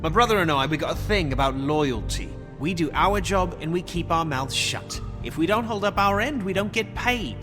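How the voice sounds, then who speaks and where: A man's voice: fairly high pitch, animated, moderate pace, few pauses, music in the background, natural voice. An actor playing a cocky, sarcastic henchman in a video game, with a mocking, flippant and defiant way of talking. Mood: defensive. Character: cocky, sarcastic wisecracking male thug henchman under interrogation. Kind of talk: dramatic video game acting.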